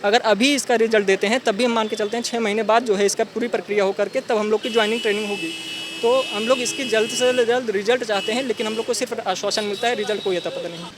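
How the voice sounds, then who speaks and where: Male, late 20s, high-pitched. Earnest protester interview, recorded outdoors with light traffic noise. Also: fairly even intonation, fast talker. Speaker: job candidate at a protest. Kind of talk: street interview. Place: outdoors by a city street.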